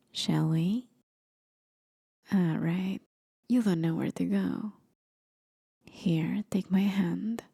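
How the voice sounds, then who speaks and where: A woman playing a playful, courteous demon forest guide in an ASMR roleplay, with soft, breathy, often whispered speech and a teasing, gently theatrical manner. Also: lowish voice, dry recording, studio quality, full character voice. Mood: gentle, welcoming. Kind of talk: ASMR roleplay, scripted acting.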